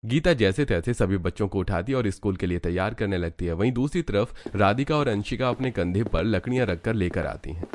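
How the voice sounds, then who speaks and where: A man's voice: fairly low pitch, animated, brisk, hardly any pauses, no echo. A man reading scripted story narration for a cartoon.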